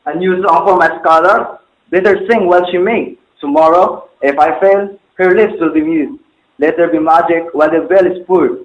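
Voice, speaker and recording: A dull, lo-fi recording of an amateur play. A man acts out a grave old mandarin bell maker with a fairly high voice for a man. He declaims formally, full of solemn worry. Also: normal speed, lightly acted. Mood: resigned, tender. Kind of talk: stagy amateur stage-play recitation.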